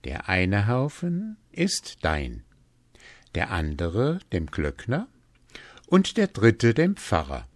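A man voicing a calm, mysterious ghost in a fairy tale, speaking in a measured, solemn way. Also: fairly low pitch, no echo, exaggerated voice. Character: calm, mysterious male ghost hung with keys. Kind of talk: fairy-tale storybook character voice.

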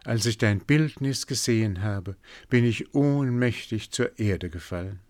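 Man reading as a lovestruck storybook king, low and slow, earnest. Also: darkish tone, dry recording, natural voice.

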